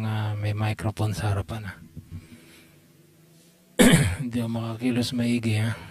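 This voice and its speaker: A Filipino man works through a repair as he talks, in the manner of a technician thinking aloud. His voice is mellow and mid-pitched, and he speaks slowly. The delivery is unscripted and halting, often muttered to himself. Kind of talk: unscripted hands-on repair walkthrough.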